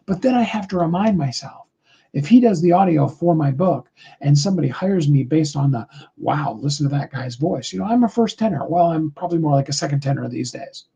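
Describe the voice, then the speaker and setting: High-voiced man with a husky, muffled sound. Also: expressive, a little fast, dark tone. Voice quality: high tenor voice. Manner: rambling, earnest, with many fillers. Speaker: host of a live motivational call. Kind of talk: casual motivational talk.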